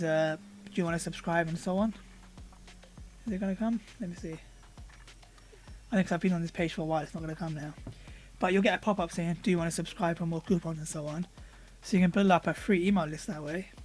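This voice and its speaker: Hoarse guy doing a casual screen-share walkthrough, lots of ums, easygoing. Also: high voice, quick pace, poor audio. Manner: relaxed, conversational, with frequent fillers. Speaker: product reviewer. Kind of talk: screen-recorded software walkthrough.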